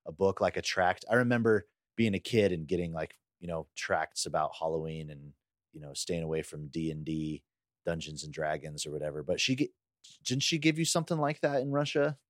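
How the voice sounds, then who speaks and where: A man with a deep, low-pitched voice co-hosts a podcast. He speaks in a casual, conversational style, often asking questions. The recording is dry, with hardly any room echo. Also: fairly quick. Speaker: podcast co-host.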